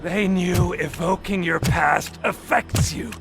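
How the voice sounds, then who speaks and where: A man's voice: high-pitched, slow, creaky, over music, heavily acted. A male actor playing an arrogant, scheming, volatile villain in a video game cutscene, with a theatrical, taunting delivery. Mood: bitter, persuasive. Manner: theatrical, taunting, swinging from smug to shouting.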